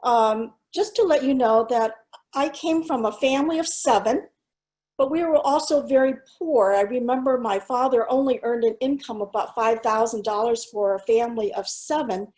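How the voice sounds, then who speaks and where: Earnest, measured middle-aged woman speaker. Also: fairly high pitch, darkish tone, low-quality recording. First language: Cantonese. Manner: measured, earnest, pauses with fillers. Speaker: school superintendent giving a keynote. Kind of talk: presentation with slides.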